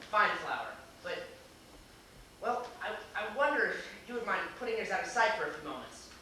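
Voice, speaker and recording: A flustered, polite gentleman acted by a man stumbles anxiously through formal old-fashioned phrases on a theater stage. His voice is bright and keen, heard from a distance in a very echoey hall. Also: high voice, very animated, fairly quick, full character voice. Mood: nervous, apologetic. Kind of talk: amateur stage acting in a comic one-act play. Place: small theater stage, recorded from the audience. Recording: echoey theater, distant camera mic, hissy noise.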